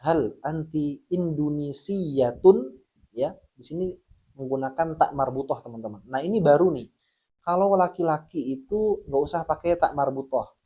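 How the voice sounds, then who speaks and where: A man with a voice pitched a little above the usual range teaches a beginners' lesson. He is friendly and patient and talks to viewers as friends. He slows down sharply to pronounce each example phrase clearly. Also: dark tone. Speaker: language teacher.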